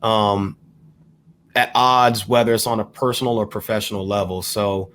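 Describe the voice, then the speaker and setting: A man co-hosting a podcast, talking through an issue in a measured, explanatory way with careful hedging. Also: lowish voice, fairly even intonation, fairly bright tone. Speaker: podcast co-host. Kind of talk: panel discussion commentary.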